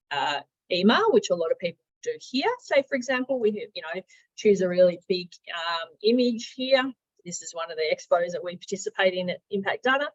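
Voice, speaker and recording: A woman giving an informal, instructional software demo as a trainer, talking in a conversational, emphatic way. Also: mid-range voice, husky, highs cut off. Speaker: software trainer, marketing director.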